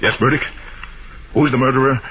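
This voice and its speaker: An actor playing a shrewd, confident master detective with an assured, emphatic delivery in an old-time radio drama. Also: male voice, mid-range voice, steady tone, brisk, close to an everyday voice. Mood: pressing, intent. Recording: noisy, muffled old radio broadcast.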